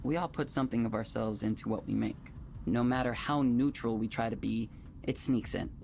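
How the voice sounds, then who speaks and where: An actor playing a polite, composed male tech company manager in a visual novel, speaking in a measured, businesslike way. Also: mid-range voice, gravelly, a little mellow, a little hiss or hum, close to an everyday voice. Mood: measured, explaining.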